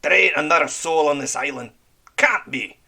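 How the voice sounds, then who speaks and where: A man voicing Red Ram, a gruff, bossy pirate leader who growls and snaps at his crew. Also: fairly high pitch, moderate pace, bright, forceful tone, pitched above the performer's own voice, heavily acted. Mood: growling. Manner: growling, snapping, dismissive.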